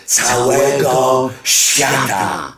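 An actor playing a megalomaniac villain bent on conquest, speaking slowly and grandly in a radio play. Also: male voice, mid-range voice, over a noisy crowd. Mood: triumphant, rising. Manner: slow, grandiose, deliberate. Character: megalomaniac villain plotting world conquest with flying frogs. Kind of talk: radio drama acting.

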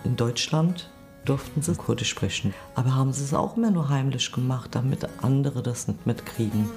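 A woman in her forties giving a reflective, halting interview account. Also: very deep voice, music in the background. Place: quiet indoor room.

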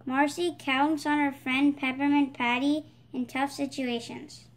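A female voice with a high pitch reads a children's picture book aloud. She presents it as the host of a read-aloud channel. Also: level tone, unhurried. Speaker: storybook reader, channel host.

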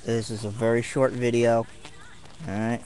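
A young man chatting casually to camera from a tent while camping, halting often with ums and pauses. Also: mid-range voice, level tone, a little mellow, poor audio. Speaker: martial arts vlogger. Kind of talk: rambling casual vlog.

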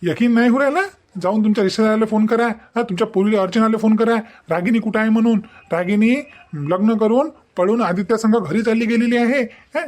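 A jilted young groom, acted by a man with a markedly high voice and a Varhadi Marathi accent. He is proud, wounded and bent on revenge, scolding and threatening in repeated bursts, in cartoon family-drama style. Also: darkish tone, natural voice. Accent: Varhadi Marathi, Vidarbha.

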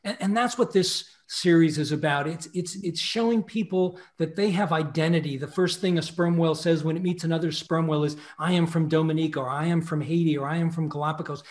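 Earnest middle-aged man, higher voice. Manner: earnest, speaks with conviction, gestures with his hands. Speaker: panel guest, photographer. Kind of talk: video call panel discussion. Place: home office with framed photos. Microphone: video call.

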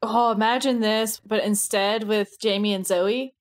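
A woman co-hosting a podcast in relaxed, conversational discussion. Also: medium pitch, a little slow. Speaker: podcast co-host.

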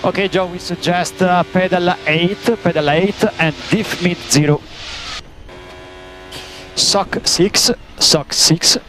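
A man working as a race engineer, giving terse, halting instructions over team radio and repeating key commands. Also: sits high, fairly even intonation, fairly bright tone. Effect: team radio transmission. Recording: noisy motor-racing team radio.

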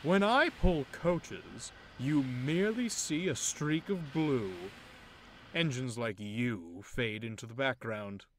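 A haughty, boastful blue tank engine, as a man puts him on, pitched well above his own voice and booming with self-importance. Every word drips with condescension, drawn out and lofty, over a steady background hum. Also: slow pace. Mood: pompous. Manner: grandiose, loud, condescending.

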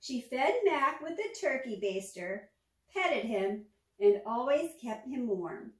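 A woman reads a picture book to young children in a high voice. She takes her time and tells it expressively. The sound is roomy, picked up at some distance from the microphone. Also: very animated, slow. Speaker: storytime reader, former teacher. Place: small furnished room at home, indoors. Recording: echoey room, distant microphone.

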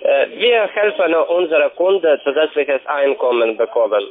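A man with a foreign accent giving a sales pitch on the telephone in halting, broken non-native German. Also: slight background noise. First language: not German. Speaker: call centre agent. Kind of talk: sales phone call. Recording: tinny narrowband phone line.

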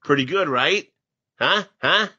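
A man's voice: fairly high pitch, animated, measured pace, bright, forceful tone, breathy edge, lo-fi sound. A man co-hosting a podcast, speaking in casual, lightly scripted banter. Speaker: podcast co-host.

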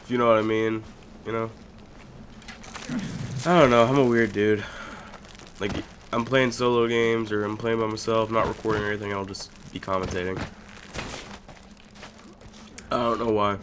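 A young man casually commentating a video game as he plays, chatty and joking, with sudden loud outbursts. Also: lowish voice, level tone, normal speed, long pauses, slight background noise. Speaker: gaming YouTuber. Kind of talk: casual let's-play commentary.

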